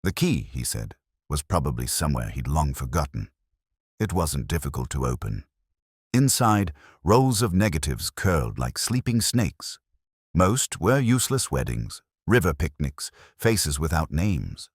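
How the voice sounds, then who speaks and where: A man reads scripted first-person detective fiction as narrator, in a measured, reflective, literary way. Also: extremely low pitch, very animated, husky, no echo, studio quality.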